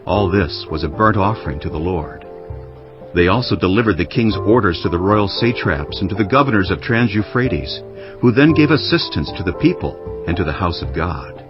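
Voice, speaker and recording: A man narrating dramatized scripture in a solemn, measured manner. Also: sits low, expressive. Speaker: Bible narrator. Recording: dull audio under music. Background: prominent background music.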